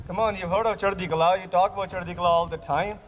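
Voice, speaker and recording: A man's voice: sits high, fairly even intonation, fast talker. A man who is a physician giving an interactive lecture to a camp audience, hesitant, with many restarts, repeating the answers he hears. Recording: roomy hall with long reverb, faint noise.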